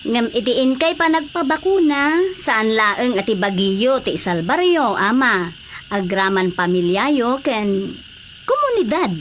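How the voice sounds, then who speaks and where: A woman's voice: fairly high pitch, big pitch swings, measured pace. A woman playing a concerned, persuasive village councilor in an Ilocano Filipino radio drama, coaxing and insistent. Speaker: voice actor. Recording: faint background noise.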